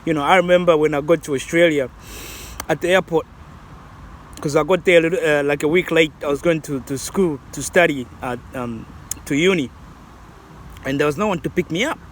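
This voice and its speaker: Rambling man, fairly high voice. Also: a little fast, long pauses, slight background noise. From Zambia. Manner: reflective, rambling, many restarts. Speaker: vlogger. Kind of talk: casual vlog commentary.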